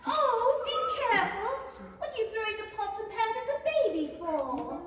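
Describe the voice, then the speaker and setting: Very high, earnest young girl, played by a woman. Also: sing-song, moderate pace, over a noisy crowd. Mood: alarmed. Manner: exclaiming, theatrical, prim. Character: polite, earnest, easily startled young girl. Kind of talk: 1930s radio play acting. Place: small indoor theatre stage, camera at a distance. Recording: very echoey hall from far off, noisy.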